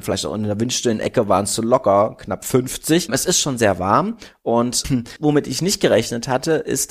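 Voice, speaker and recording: A man from Berlin chatting casually about a trip, telling it in detail with plenty of fillers. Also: medium pitch. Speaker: cruise company staff guest. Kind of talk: casual podcast chat.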